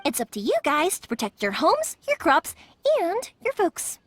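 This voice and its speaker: A woman's voice: high voice, huge pitch swings, moderate pace, fairly bright tone, full character voice. A woman voicing a cheerful young fireworks maker, upbeat and encouraging, in game voice acting.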